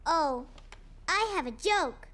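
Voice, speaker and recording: A woman voicing an excitable, sweet four-year-old cartoon boy in an eager, childlike manner. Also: high-pitched, slow pace, full character voice. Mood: playful. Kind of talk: preschool cartoon acting.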